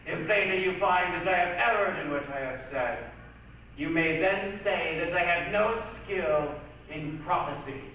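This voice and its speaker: Far from the microphone in a very reverberant hall, a man plays an old blind seer of stage tragedy. He is bitter and defiant, pronouncing doom in a high, bright, weighty voice. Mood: prophetic, stern. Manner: declamatory, deliberate, weighty. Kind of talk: readers' theatre tragedy acting. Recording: echoey hall from far off, faint noise.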